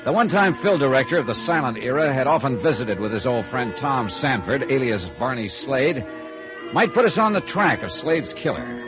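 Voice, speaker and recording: A man's voice: medium pitch, animated, moderate pace, natural voice. Hard-boiled, wry American male investigator played by an actor, 1950s radio drama, swooping delivery, muffled archival sound. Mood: brisk, wry narrating. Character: sardonic, hard-boiled freelance insurance investigator. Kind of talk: 1950s radio detective drama acting and narration. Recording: dull vintage radio recording with music.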